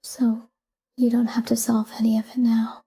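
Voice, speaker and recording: A woman guiding a sleep meditation in a calm, hushed, breathy whisper, soothing and soft. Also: on the high side, normal speed.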